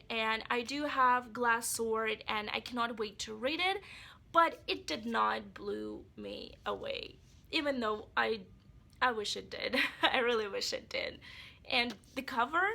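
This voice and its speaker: Chatty woman with a gravelly, bright voice. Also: a little high, moderate pace. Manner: chatty and rambling, speaks straight to viewers. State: voice a bit off from being sick. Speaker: book vlogger. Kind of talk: casual book review vlog.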